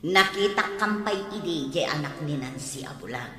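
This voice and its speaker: A woman acts out a fiercely protective, indulgent mother in an Ilocano audio drama. Her delivery is sharp and highly animated. The recording has long hall reverb with music underneath. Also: moderate pace. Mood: conversational.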